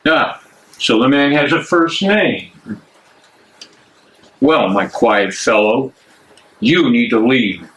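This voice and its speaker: An actor playing a scornful, menacing Nazi prison major in a play reading, barking and mocking. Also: male voice, mid-range voice, lively intonation, takes it slowly, pauses often, a bit bright, slight background noise. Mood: mocking menace. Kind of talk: dramatic reading of a stage play.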